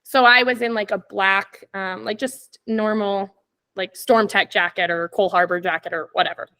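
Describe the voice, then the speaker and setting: A woman's voice: medium pitch, steady tone, normal speed, bright, forceful tone. Chatty young Canadian woman guest. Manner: chatty, laughs often, many "like" and "um" fillers. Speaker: podcast guest. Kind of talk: casual storytelling chat.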